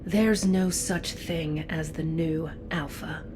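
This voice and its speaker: A woman's voice: low-pitched, steady tone, slow pace, rough recording. A woman voicing a bold human negotiator who speaks firmly and challengingly. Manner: firm, challenging. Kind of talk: game cutscene dialogue. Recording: music underneath.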